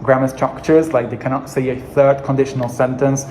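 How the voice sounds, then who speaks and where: A man's voice: mid-range voice, level tone, fairly quick, darkish tone, a little hiss or hum. A young adult man from Hungary with a Hungarian accent, speaking English as a second language, giving an earnest, encouraging teaching monologue as an English coach.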